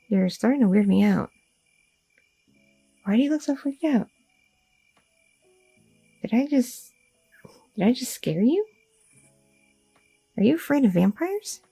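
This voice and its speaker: A woman's voice: fairly high pitch, creaky, warm tone, slightly rough, heavily acted, rough recording. A woman playing an anxious, devoted young goth girlfriend in an ASMR audio roleplay, hesitant and rambling, often repeating herself. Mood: playful, worried. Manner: hesitant, stammering, self-interrupting, repeating words.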